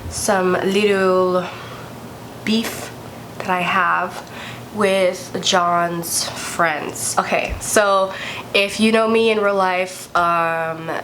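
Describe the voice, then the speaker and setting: A woman's voice: on the low side, fairly even intonation, very slow, fairly bright tone, a little hiss or hum, poor audio. A young woman vlogger with a congested, stuffy voice, chatting candidly and swearing freely as she tells a story. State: congested from a cold. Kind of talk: casual storytime vlog.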